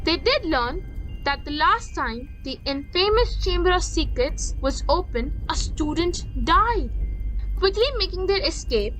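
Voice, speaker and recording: A woman narrates a scripted recap of a story. Her voice is notably high, and music plays clearly underneath her reading. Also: lively intonation, unhurried, fairly bright tone, poor audio.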